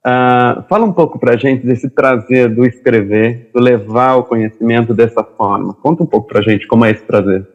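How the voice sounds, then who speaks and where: A male host at an average pitch, opening the chat and asking questions in a loose, friendly way. His questions wander and are full of fillers. The audio is slightly dull and lo-fi. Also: normal speed, few pauses. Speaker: interview host. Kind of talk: casual interview chat. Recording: dull lo-fi sound.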